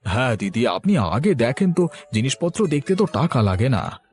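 A man's voice: mid-range voice, sing-song, moderate pace, a little mellow, soft background music, close to an everyday voice. Man playing a coaxing village cloth peddler. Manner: coaxing, polite, sales patter. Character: friendly travelling village clothes seller, persuasive, folksy. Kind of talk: Bengali cartoon voice acting.

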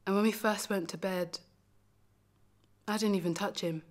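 Woman acting heartbroken young lover, lonely, self-deprecating, confessional, emphatic, hushed-to-forceful stage monologue, mid-pitched voice. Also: steady tone, moderate pace, natural voice. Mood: wistful, intense.

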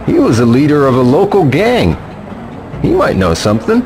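An actor playing a chatty, helpful elderly shopkeeper in a video game, with a folksy, gossipy manner. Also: male voice, mid-range voice, wildly swooping pitch, moderate pace, noisy background. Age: older. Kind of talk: English video game voice acting.

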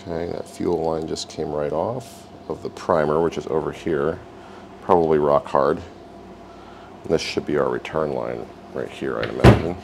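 Very deep gravelly man, workshop repair talk. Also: a little slow, slight background noise. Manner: relaxed, wry, talks while working. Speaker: repair video host. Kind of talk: casual hands-on repair narration. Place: home garage workshop.

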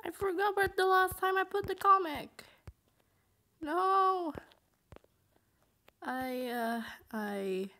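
Slow-paced woman dubbing a comic. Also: very high-pitched, pushed and bright. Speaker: amateur comic dubber. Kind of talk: reading a comic aloud with casual asides.

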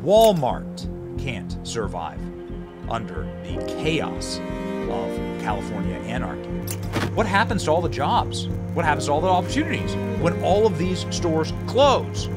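A man doing voice-over commentary in an emphatic, dramatic manner, building through repeated phrases. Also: fairly high pitch. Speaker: narrator and political commentator. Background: prominent music under the voice.

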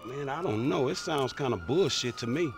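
A man acting in a video game cutscene as a skeptical streetwise character, blunt, irritated and quick to swear. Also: mid-range voice, lively intonation, close to an everyday voice.

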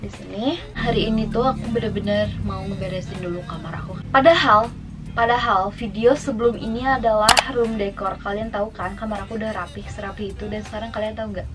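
A woman's voice: medium pitch, steady tone, brisk, poor audio. A woman in her twenties from Indonesia, chatting casually to camera in a lifestyle vlog from her bedroom, mixing in English phrases. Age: 20s. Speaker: lifestyle vlogger. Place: bedroom at home. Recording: music playing behind.

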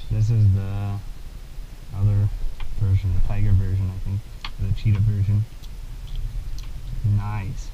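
A man's voice: fairly low pitch, monotone, a little slow, pauses often, lo-fi sound, heavy background noise. A man giving casual, enthusiastic fishing commentary outdoors on the river for a vlog. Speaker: fishing vlogger. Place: outdoors on a river, boat and dock. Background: loud music.

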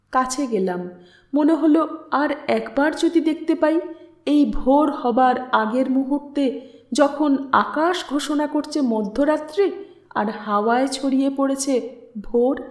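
High-voiced woman narrating slowly, roomy echo. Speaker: audiobook narrator. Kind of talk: expressive literary story narration.

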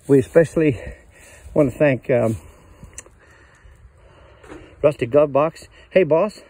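A man's voice: fairly high pitch, mellow, slightly rough. An older man casually showing his cabin outdoors in the woods, rambling in a folksy way with many fillers, recorded on a handheld phone. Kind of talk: casual walkthrough chat.

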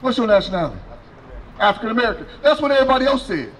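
Loud high-voiced street preacher man. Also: very animated, very fast, slight background noise, rough recording. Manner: loud, preaching with a handheld mic, questioning passersby. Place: city sidewalk outdoors by a fence. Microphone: handheld mic.

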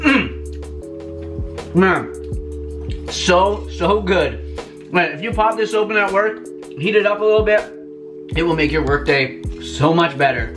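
A man's voice: high-pitched, slow, pauses often. Young man, casual cooking vlog host, upbeat and chatty, small home kitchen, music playing under the voice. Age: young adult. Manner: upbeat, chatty, addresses viewers as "you guys".

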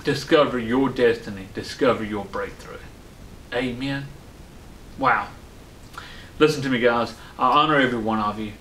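A man's voice: medium pitch, moderate pace, pauses often, fairly bright tone, slight background noise. A man in his forties, an online pastor preaching a sermon to camera, fervent and punctuating his lines with amen and hallelujah. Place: studio set with a dark backdrop, indoors. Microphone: fixed camera, seated at a medium distance. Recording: roomy studio echo.

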